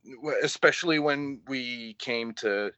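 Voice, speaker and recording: A young man with a mix of English and Canadian accent, a band vocalist chatting as an interview guest in a halting way, full of fillers and casual swearing, on slightly dull lo-fi audio. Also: mid-range voice, sharp tone, clean tone, dry recording.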